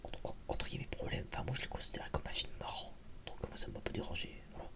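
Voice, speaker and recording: A man whispers a casual ASMR film review in a hesitant, rambling, informal way. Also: quick pace. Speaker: video creator giving a film review.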